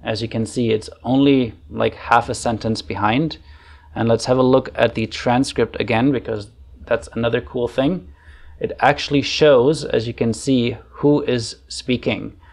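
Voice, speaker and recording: A man in his thirties with a German accent walks through a software tutorial in a relaxed, chatty way, thinking aloud with plenty of fillers. His voice sits at a middle pitch with a gravelly edge. He speaks at home into a desk microphone. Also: a little slow. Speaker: online facilitation trainer. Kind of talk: casual screen-share tutorial. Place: home room. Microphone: desk microphone on arm.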